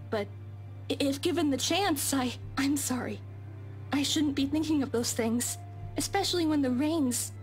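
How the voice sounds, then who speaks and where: A woman playing a meek, deferential young maid in a visual novel game, speaking in a formal, old-fashioned, hesitant way. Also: high voice.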